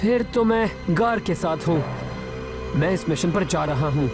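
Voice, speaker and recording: A man's voice: on the high side, lively intonation, measured pace, over music. A man voicing an earnest, determined, secretive teenage boy hero in a cartoon dub. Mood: firm. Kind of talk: animated cartoon dubbing.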